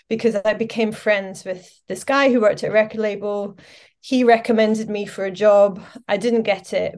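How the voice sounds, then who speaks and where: A woman's voice: medium pitch, a little mellow. A Scottish woman in her forties, a podcast guest chatting casually and telling her story with lots of fillers. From Scotland. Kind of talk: casual interview chat.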